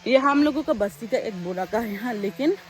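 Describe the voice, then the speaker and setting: A young woman vlogging casually outdoors in the countryside, chatty and excitable, talking into a handheld phone. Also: on the high side, a little fast. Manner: chatty, excitable, switches between loud exclamations and quiet asides. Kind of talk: casual vlog narration while walking outdoors. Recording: faint outdoor background noise.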